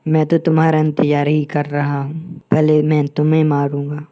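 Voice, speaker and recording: A woman's voice: deep voice, completely flat delivery, normal speed, dark tone, close to an everyday voice. A woman voicing a sly, insolent and menacing male jackal in a cartoon moral story.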